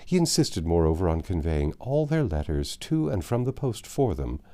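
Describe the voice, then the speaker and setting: A man narrating a classic novel aloud in a measured, expressive storybook manner. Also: sits low. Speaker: audiobook narrator. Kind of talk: literary audiobook narration.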